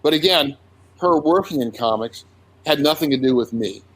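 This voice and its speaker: An older man in his seventies, a comic artist recalling his early career in a relaxed interview, unhurried with frequent restarts. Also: medium pitch, breathy edge, rough recording. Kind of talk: casual conversational interview.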